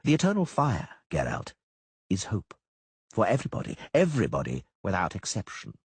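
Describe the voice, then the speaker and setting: A man voicing a quiet, dispassionate male minister with veiled menace. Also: low voice, wildly swooping pitch, fairly quick, slightly rough, no room sound, full character voice. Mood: solemn. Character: calm, menacing middle-aged male minister.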